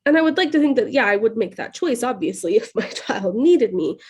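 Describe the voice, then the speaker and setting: A woman's voice: fairly high pitch, lively intonation, a little fast, breathy edge. A woman from California, a podcast guest talking openly in a casual interview, hesitant, with many fillers and frequent laughter. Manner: hesitant, full of "like" and "um", laughing often. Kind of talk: candid casual interview talk.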